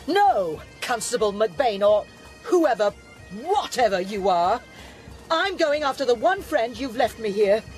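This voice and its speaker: A woman in a radio drama playing a defiant, alarmed doctor with a sharp, determined way of talking. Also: a little high, big pitch swings, unhurried, faint music behind.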